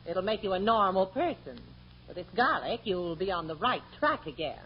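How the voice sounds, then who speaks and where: An actress playing a bossy, scolding middle-aged wife with an emphatic, theatrical manner in a 1940s radio comedy. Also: female voice, mid-range voice, lively intonation, moderate pace, close to an everyday voice. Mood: insistent. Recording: muffled, noisy old radio recording.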